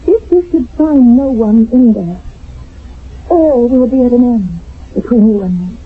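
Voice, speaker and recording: An actress playing a proud, pleading wife in a radio drama, formal and solemn, with a husky voice. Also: female voice, a little high, expressive, unhurried, narrow, tinny audio. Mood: tense, warning. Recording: band-limited old radio recording.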